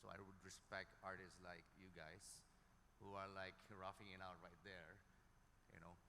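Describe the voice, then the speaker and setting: A man's voice: low voice, flat delivery, moderate pace, bright, forceful tone. A man on an industry panel giving his opinions casually and emphatically, repeating himself. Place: hall at a music conference panel. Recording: noisy echoey hall, long reverb.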